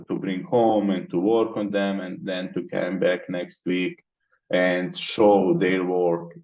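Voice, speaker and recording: Deep-voiced man from the Balkans. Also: a little mellow, muffled recording. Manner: methodical, explains in long lists. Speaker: interview guest, mentorship organizer. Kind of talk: conversational interview.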